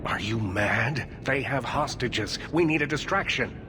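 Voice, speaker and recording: A proud elven mage leader acted by a man speaks in a video game scene. His mid-range voice is formal and measured, with a pointed dignity. Background ambience is clearly heard. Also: medium pitch, expressive, noisy background, close to an everyday voice. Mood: urgent, reasoning. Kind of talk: video game cutscene acting.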